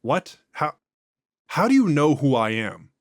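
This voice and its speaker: A man's voice: on the high side, big pitch swings, measured pace, no echo, studio quality. A man voicing an earnest male interrogator who questions a prisoner at a secure facility. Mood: alarmed.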